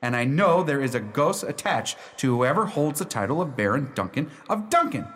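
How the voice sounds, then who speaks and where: Unruffled lawyer with very swooping delivery. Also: male voice, medium pitch, sing-song, normal speed, fairly bright tone. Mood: formal, self-assured. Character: droll, unruffled lawyer of Scottish descent who owns a haunted house. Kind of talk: radio play storytelling and dialogue. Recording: reverberant hall sound.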